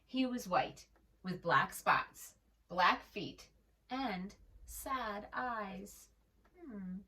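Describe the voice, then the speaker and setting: A woman telling a children's story in an expressive, gentle manner, as a read-aloud for preschoolers. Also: medium pitch, very slow, far from the mic. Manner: expressive, gentle, asks the listener questions.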